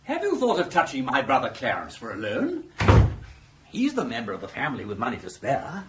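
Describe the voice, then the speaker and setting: An actor playing a genial, scheming older upper-class English gentleman with an urbane, florid, self-assured manner in a radio comedy drama. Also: mid-range voice, huge pitch swings, a little fast, very noisy. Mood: confident, persuasive.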